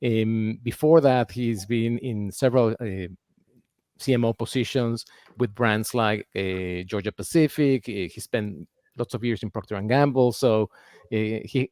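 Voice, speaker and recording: A man's voice: mid-range voice, moderate pace, a little mellow, no room sound. Male host, close dry sound. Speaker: podcast host. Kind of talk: conversational interview.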